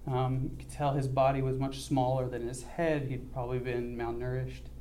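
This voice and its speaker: An earnest young man recounts a mission trip in a halting, conversational way, filling pauses with ums. His voice is dark and mellow. A faint hiss sits under the recording. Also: medium pitch, little pitch movement. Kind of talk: church mission report, casual.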